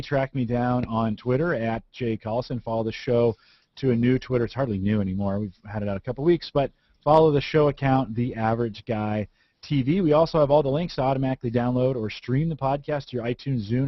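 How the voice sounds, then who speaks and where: A man's voice: mid-range voice, quick pace, no echo, dull audio. A man hosting a casual tech podcast from his home studio, chatty and rambling, with lots of fillers and restarts. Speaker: podcast host.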